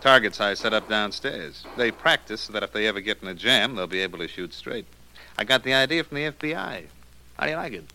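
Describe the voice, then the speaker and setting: A man's voice: fairly low pitch, lively intonation, fast, gravelly, bright, forceful tone, full character voice. An actor playing a cocky, amused gangster loan shark with slangy tough-guy talk and cool menace in an old radio drama. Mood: amused, sly menace. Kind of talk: 1940s radio detective drama acting. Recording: noisy old radio recording.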